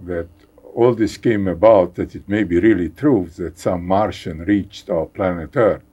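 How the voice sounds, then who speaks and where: An older man from Hungary with a Hungarian accent, speaking haltingly as a guest in a conversational interview, repeating words and chuckling wryly. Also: low-pitched, mellow, slightly rough, muffled recording. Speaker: guest scientist.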